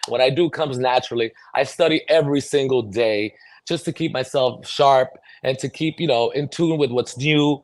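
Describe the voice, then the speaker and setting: A man's voice: medium pitch, normal speed, no echo. Middle-aged man, close dry sound, earnest. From New York City, born in El Salvador. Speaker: podcast guest, mobility fitness trainer. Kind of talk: conversational interview answers.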